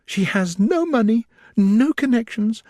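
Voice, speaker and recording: A man's voice: very animated, a little slow, a little mellow, no room sound, clean studio sound, heavily acted. A man voicing a frantic, tearful young lady. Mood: anguished. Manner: urgent, pleading, high and breaking. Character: distraught young gentlewoman, anxious and tearful.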